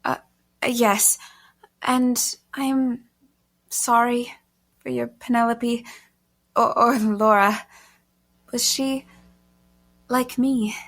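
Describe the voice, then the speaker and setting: A woman's voice: a little high, level tone, very slow, long pauses, a bit bright, exaggerated voice. An actress playing a frightened, bewildered young woman on the run in an audio drama, speaking in an earnest, hesitant, pleading way. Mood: hesitant, confused.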